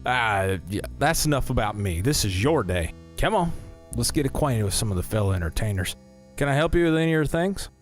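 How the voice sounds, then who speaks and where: A man's voice: mid-range voice, sing-song, fairly quick, close to an everyday voice. An actor playing a folksy, genial carnival owner in an audio drama, talking in a salesman's patter. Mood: friendly, chatty. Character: folksy, smooth-talking carnival owner, a much-married man. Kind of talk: scripted audio drama acting. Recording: music behind the voice.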